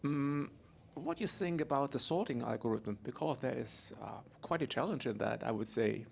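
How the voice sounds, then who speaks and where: A man in the audience asks his question in English with a German accent. His voice is gravelly, and he pauses a lot with fillers as he feels his way through it. A little room noise sits behind him. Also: medium pitch, expressive. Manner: hesitant, many fillers, wry. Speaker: audience member.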